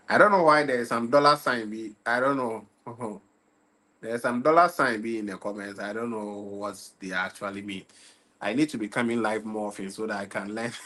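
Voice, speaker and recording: A fairly low-voiced young man talks into a desk mic on his own livestream. He sounds tired and relaxed, repeats himself and keeps checking whether viewers can hear him. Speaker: livestream football talk host. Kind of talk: casual livestream chat.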